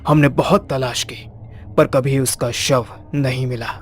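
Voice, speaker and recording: A man playing a solemn hospital dean in a cartoon horror story, speaking in a measured way. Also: mid-range voice, fairly even intonation, faint music behind, natural voice. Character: solemn male hospital dean revealing dark history.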